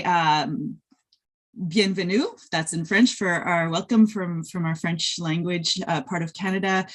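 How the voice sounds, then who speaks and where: A middle-aged woman whose first language is Polish, presenting a report at an online conference over a video call, friendly and full of fillers and restarts. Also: mid-range voice. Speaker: conference speaker, industry researcher.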